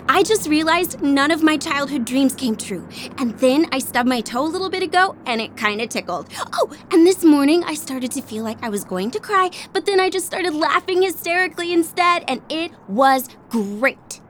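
Actress as relentlessly chipper, scatterbrained young friend; very high, giddy voice, slight background noise. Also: female voice, lively intonation, moderate pace, fairly bright tone. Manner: bubbly, giddy, singsong. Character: manically cheerful, scatterbrained young woman under a spell. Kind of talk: comic audio drama acting.

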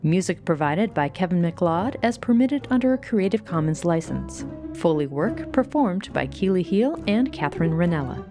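A woman's voice: lowish voice, sing-song, moderate pace. A woman announcer reading scripted closing credits for an audio production. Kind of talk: scripted narration. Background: music.

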